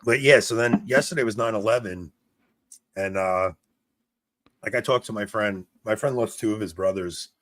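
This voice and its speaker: Gravelly New man telling stories. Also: on the low side, normal speed. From New York. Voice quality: smoky, gravelly voice. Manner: animated storyteller, laughs often, trails off and restarts. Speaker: podcast co-host. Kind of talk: casual chat.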